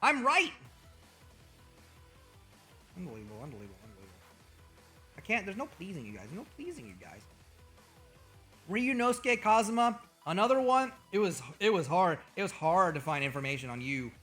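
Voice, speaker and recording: A man streaming as a VTuber, joking and trash-talking with over-the-top, profane bragging, his voice a little hoarse. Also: high voice, sing-song, normal speed, long pauses, a bit bright, faint music behind. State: slightly hoarse. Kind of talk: comedic livestream banter.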